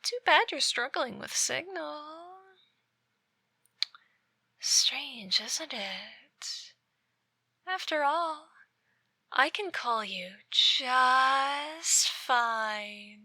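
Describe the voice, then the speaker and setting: A woman playing an obsessive yandere ex-girlfriend in an ASMR roleplay, speaking with menacing sweetness and slipping into breathy whispers. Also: high voice, animated, drawn-out words, bright, forceful tone, no room sound, studio quality, full character voice. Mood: menacing, possessive. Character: obsessive yandere ex-girlfriend stalking her former lover. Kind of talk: ASMR roleplay, scripted monologue.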